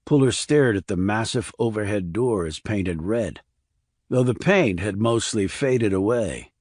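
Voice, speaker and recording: A man narrates a crime thriller audiobook. His voice sits on the low side. The recording is dry, with hardly any room around the voice. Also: expressive, unhurried, a little mellow, no echo.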